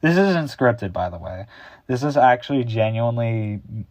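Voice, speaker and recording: A teenage male YouTuber with a deep, bassy voice, chatting in an improvised, rambling and slightly awkward way. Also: animated, normal speed, darkish tone, dry recording. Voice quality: deep, bass-heavy voice. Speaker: YouTube host. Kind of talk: casual unscripted chat.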